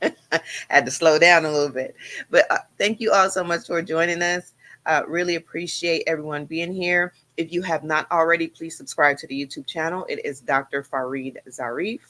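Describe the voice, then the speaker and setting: A woman co-hosting a live health stream, making announcements in an upbeat, quick way. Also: low voice, steady tone, a bit bright. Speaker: co-host. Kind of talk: livestream announcements.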